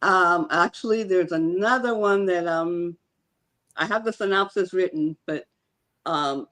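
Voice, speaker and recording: A woman's voice: fairly low pitch, unhurried, fairly bright tone. A woman author from Cuba answering interview questions, hesitant with many ums and restarts. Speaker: author guest.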